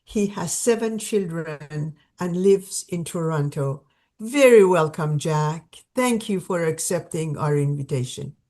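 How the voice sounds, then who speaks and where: A woman's voice: low-pitched, expressive, measured pace, mellow. A woman hosting an online gathering over a video call, welcoming people and introducing a guest, with many fillers and restarts. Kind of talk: warm spontaneous welcome and introduction.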